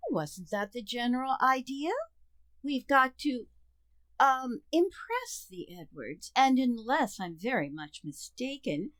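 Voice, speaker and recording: A woman playing a patient, gently wry wife who soothes and placates in an amateur radio sitcom. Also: high-pitched, very animated, dry recording, full character voice. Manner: soothing, measured.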